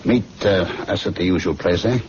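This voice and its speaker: A man's voice: deep voice, moderate pace, natural voice. A man playing a worldly, cynical senior medical student in an old radio drama, commanding and sardonic in a theatrical way. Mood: brisk, businesslike. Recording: dull, noisy old radio recording.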